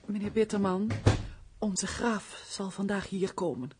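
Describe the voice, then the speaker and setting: An actress playing a gentle, melancholy castle housekeeper in a Dutch radio play, speaking in an earnest, refined, pleading manner. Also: female voice, mid-range voice, unhurried, noisy background, lightly acted. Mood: polite, composed.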